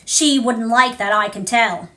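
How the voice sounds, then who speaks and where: Lady doing a curious little girl in a storybook, high voice, plain-spoken. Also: a little slow, a bit bright. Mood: earnest. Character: frank, self-possessed, curious young girl.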